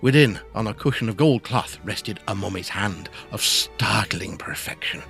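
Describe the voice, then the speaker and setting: A man's voice: on the low side, normal speed, vocal fry, a bit bright, faint music behind. A man narrating a gothic audio drama in a scripted storytelling style.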